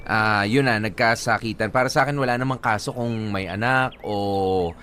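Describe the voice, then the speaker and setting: A man co-hosting a radio advice show, giving his opinion haltingly, with many pauses, and mixing in English phrases. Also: lowish voice, pushed and bright, slight background noise. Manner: hesitant, fills pauses, mixes English into talk. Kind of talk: casual commentary.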